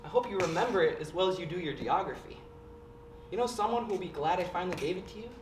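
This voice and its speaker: A woman playing an arrogant, petulant teenage boy on stage, sneering and flaring into shouts. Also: lowish voice, expressive, fast, lightly acted. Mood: haughty. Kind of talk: stage play acting. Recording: roomy stage recording from a distance, faint hiss.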